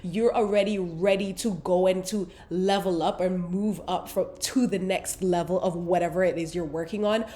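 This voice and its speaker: A young woman with a lowish, mellow voice hosts a spiritual podcast from her apartment. She is congested and sniffly from a cold. Her talk is casual, affectionate and motivational. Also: fairly even intonation, normal speed, few pauses. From Caribbean. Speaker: podcast host. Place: London apartment near a busy road.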